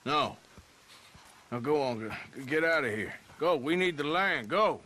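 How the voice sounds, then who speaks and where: An actor playing a tough, callous outlaw in a game, curt and commanding. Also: male voice, a little high, expressive, measured pace, pushed and bright. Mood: gruff, dismissive. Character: tough, brusque, callous male outlaw gunman. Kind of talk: naturalistic video game dialogue.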